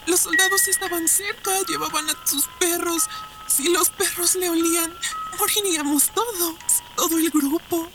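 An actress playing a haunted war survivor and grieving mother, speaking in a halting, emotional way in a Spanish-language radio drama. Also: very high-pitched, fairly even intonation, loud music behind the voice. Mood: anguished.

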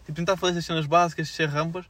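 Fast-talking young Portuguese man, slangy. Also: a little high, flat delivery, fairly bright tone. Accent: European Portuguese. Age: young adult. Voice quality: slangy, filler-heavy speech. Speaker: podcast co-host. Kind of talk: casual unscripted chat.

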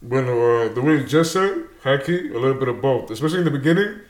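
A man's voice: mid-range voice, lively intonation, fast talker, echoey room. A young man with Dominican and Peruvian roots from New Jersey, talking energetically in a casual interview and dropping Spanish words into his slangy speech. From Dominican and Peruvian heritage, New Jersey. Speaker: guest, show creator.

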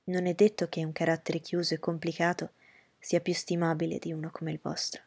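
A woman voicing a witty, sharp young woman who speaks calmly and poised, with a gravelly, husky voice. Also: sits low, fairly quick, dry recording.

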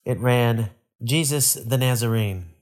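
A man reading a Bible narrative aloud in a solemn, measured and formal way. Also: mid-range voice, darkish tone. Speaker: scripture reader.

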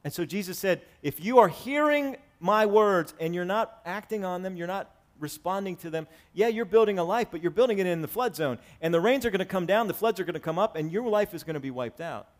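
A man in his forties preaching a sermon to his congregation, swinging between raised, emphatic lines and quiet, conversational asides. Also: high-pitched, animated, fairly quick, few pauses. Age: 40s. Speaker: pastor. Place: church sanctuary with congregation.

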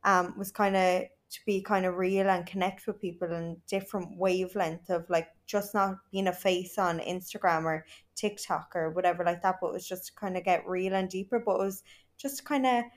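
A woman's voice: lowish voice, fairly even intonation, moderate pace. A young Irish woman chatting as a podcast guest, gushing and filling her talk with "like". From Ireland. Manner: gushing, lots of "like". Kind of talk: casual chat.

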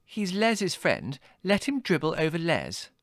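A man's voice: fairly high pitch, huge pitch swings, a little slow, no room sound, studio quality, voice pushed up, exaggerated voice. A man voicing an indignant, whiny young woman in a storybook reading, petulant and emphatic. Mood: indignant, disgusted.

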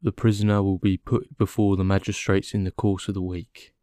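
Man voicing a formal, low-voiced, unemotional police inspector. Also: little pitch movement, fairly quick, mellow, no room sound, studio quality, lightly acted. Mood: dutiful. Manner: formal, mechanical, official. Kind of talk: audiobook character dialogue.

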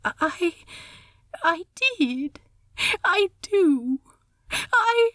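A woman voicing a fussy, polite gentleman pirate captain in an audiobook story, stammering and earnest. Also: very high-pitched, big pitch swings, very slow, dry recording, clean studio sound, voice pushed up, full character voice. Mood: earnest, unsure. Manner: stammering, hesitant, prim.

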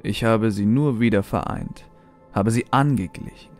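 Man acting a low-voiced, grandiose fanatical scientist. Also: normal speed, soft background music, natural voice. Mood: solemn. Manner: solemn, grandiose, preaching. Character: fanatical male scientist preaching a new cosmic order. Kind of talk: horror audio drama acting.

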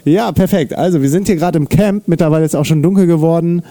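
Chill german host guy, slightly high but mellow voice, easygoing. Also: quick pace, dry recording. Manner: upbeat, laid-back, slangy. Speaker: podcast host. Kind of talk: casual interview chat. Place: outdoors at an evening camp.

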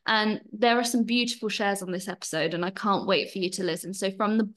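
A woman's voice: medium pitch, fairly even intonation, fairly quick, a bit bright. A woman hosting a podcast about her retreat, chatty, effusive and grateful as she welcomes her guests. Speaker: podcast host and retreat leader.

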